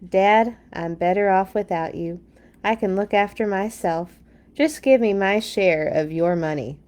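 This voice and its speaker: A woman's voice: mid-range voice, unhurried, darkish tone, lightly acted. A woman voicing a discontented, self-centered young son in a children's Bible storybook, complaining and pondering. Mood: demanding.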